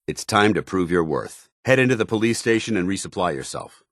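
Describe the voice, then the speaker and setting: Give this game soldier a very low, clean voice recorded close and dry. He is a stern, earnest paladin, formal and commanding, loyal to his order. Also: big pitch swings, fairly quick, no echo, exaggerated voice. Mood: firm. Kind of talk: video game companion dialogue.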